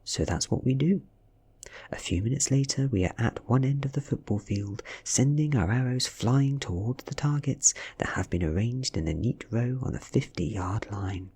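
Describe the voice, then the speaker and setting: A man with a British accent softly narrating a story in ASMR style, breathy and often near a whisper. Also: medium pitch, animated, moderate pace. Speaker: audiobook reader.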